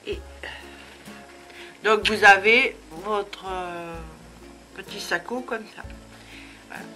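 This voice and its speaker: A woman's voice: mid-range voice, slow, pushed and bright, faint music behind, rough recording. A woman with a cold hosting a casual crafting tutorial at home, chatty and full of hesitations. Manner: chatty, many hesitations and fillers. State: has a head cold.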